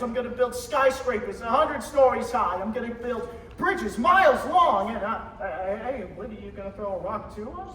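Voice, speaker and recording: Young guy acting restless eager small-town dreamer in a radio play, high voice, roomy and far from the mic. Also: a bit bright. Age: teenager. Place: high school theater stage. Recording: roomy stage recording, distant mic, faint noise.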